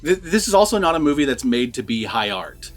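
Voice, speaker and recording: A man's voice: mid-range voice, sing-song, a little fast. A man giving his opinions in a casual, conversational, unscripted review chat. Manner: conversational, opinionated, says "like" often. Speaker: film review vlogger.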